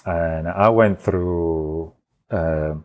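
A man from Italy with an Italian accent, telling a personal story as a podcast guest in a halting way with many restarts. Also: low-pitched, darkish tone. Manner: halting, many restarts and fillers, laughs often. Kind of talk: casual storytelling.

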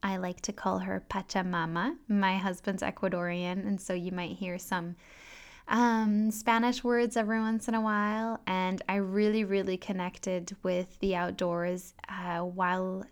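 A woman in her thirties, French but sounding American, hosting a calm wellness podcast in a soft, gentle, unhurried way. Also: medium pitch, smooth. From France. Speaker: podcast host and health coach. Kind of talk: calm solo podcast talk with guided breathing.

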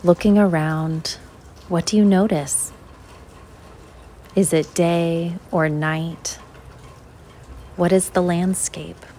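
A woman leading a guided visualization in an unhurried, measured way, leaving long pauses between short phrases. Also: on the low side, fairly even intonation, mellow, slight background noise. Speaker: guided meditation host.

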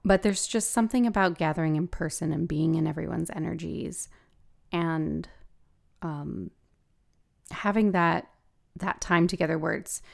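A woman with a gravelly voice hosting a podcast, talking casually and haltingly as she thinks aloud. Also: on the low side. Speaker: podcast host and hypnosis practitioner. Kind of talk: casual solo podcast talk.

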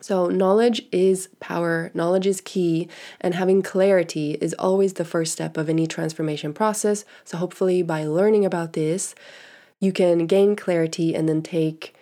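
A woman's voice: lowish voice, fairly even intonation, moderate pace, warm tone. A woman in her thirties with a congested nose, hosting a solo podcast in a frank, chatty way with fillers and the odd laugh. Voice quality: nasal from congestion. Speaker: podcast host and sexuality coach.

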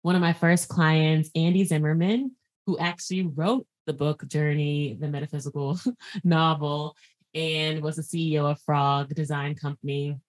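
A woman's voice: low voice, level tone, moderate pace. A woman hosting a podcast interview, effusive and chatty, laughing often and restarting her sentences. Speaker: podcast host. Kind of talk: casual interview chat.

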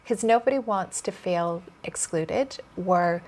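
A woman with a slightly low voice hosts a podcast conversation. She is chatty and agreeable, often affirming her guest as she shares her own stories. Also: slow pace, a little mellow. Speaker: podcast host. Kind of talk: conversational interview.

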